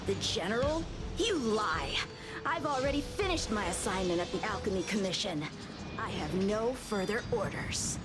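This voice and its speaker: A woman's voice: mid-range voice, lively intonation, normal speed, over loud music, lightly acted. A woman playing a proud young swordsman in a video game, earnest, formal and intense. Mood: defiant, earnest. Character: proud young swordsman boy, entranced and hungry for combat. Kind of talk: dramatic video game voice acting.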